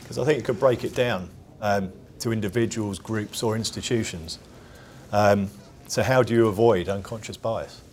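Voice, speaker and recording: Low-voiced man moderating a panel. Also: normal speed, darkish tone, a little hiss or hum. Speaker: round table moderator.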